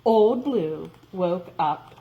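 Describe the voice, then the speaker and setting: A woman reading a children's story aloud in a dramatic, expressive way, drawing out words for emphasis. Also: fairly low pitch, extremely slow, phone-quality sound, background noise.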